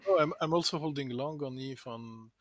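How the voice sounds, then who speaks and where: A man's voice: mid-range voice, moderate pace, slight background noise. Rambling man on a trading stream. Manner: rambling, self-correcting, laughs often. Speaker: livestream host. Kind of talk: casual trading chat.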